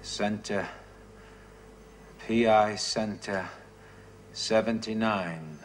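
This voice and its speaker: An actor playing a dazed former prisoner who speaks in halting, flat, broken phrases, in a 1970s TV drama. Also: male voice, lowish voice, slight background noise. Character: dazed man, a former prisoner reliving brainwashing.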